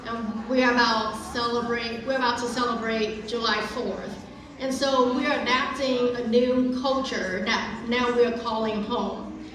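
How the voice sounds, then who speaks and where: A woman's voice: fairly high pitch, fairly even intonation, a little slow, a crowd in the background. A middle-aged woman from Vietnam with a Vietnamese accent, giving an earnest, careful prepared speech at a community event with frequent restarts. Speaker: guest speaker. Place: large echoey event hall. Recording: echoey hall, voice from far off.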